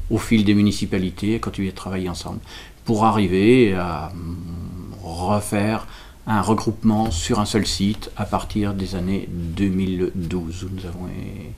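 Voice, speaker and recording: A man from France giving explanatory interview answers as a local representative, halting and correcting himself as he searches for words. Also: deep voice, fairly even intonation, gravelly, a little mellow, slight background noise. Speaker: local representative explaining a village school project.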